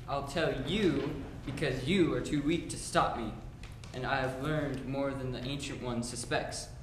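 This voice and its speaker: A man's voice: mid-range voice, fairly even intonation, a little slow, lightly acted. A teenage boy playing a scheming, scornful sorcerer's pupil in a school radio play, with grand, declamatory delivery. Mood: scornful, gloating. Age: young teenager. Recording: roomy echo, faint background noise.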